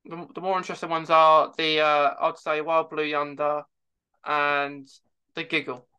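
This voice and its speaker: A man hosting a casual fan chat as interviewer, speaking haltingly with repeated words and false starts. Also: a little high, flat delivery, bright, forceful tone, clean tone, dull audio. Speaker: podcast host interviewing a guest.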